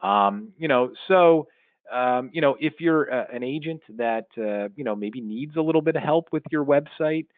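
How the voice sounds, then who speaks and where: A man's voice: medium pitch, moderate pace, no echo. A middle-aged man giving an informal advice podcast monologue in an explanatory, conversational way, leaning on his key points. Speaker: podcast host and marketing consultant. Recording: muffled, narrow phone-like sound, dry.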